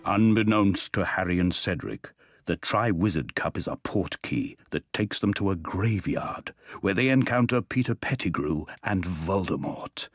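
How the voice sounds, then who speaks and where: A man's voice: sits low, expressive, unhurried, dry recording, clean studio sound. A man reading scripted story narration for a video game. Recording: faint music behind the narration. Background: soft background music.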